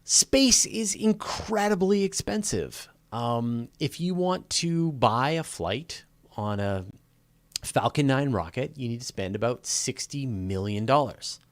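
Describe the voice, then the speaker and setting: A man's voice: medium pitch, animated, slow pace, no echo. A man hosting a live question-and-answer stream, explaining things conversationally and thinking aloud as he stresses his key points. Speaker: host of a live space and astronomy Q&A.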